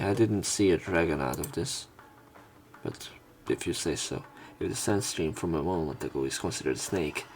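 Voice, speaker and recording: A man's voice: low-pitched, vocal fry. A man reading game narration aloud as a let's player, breaking into irreverent, casual commentary. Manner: irreverent, crude asides.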